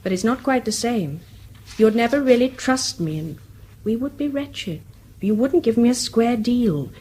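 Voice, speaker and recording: A woman's voice: a little high, animated, unhurried, dark tone, breathy edge. An actress playing a disillusioned, dryly witty English woman in a British radio drama, subdued and weary. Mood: guarded, weary. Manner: subdued, wry.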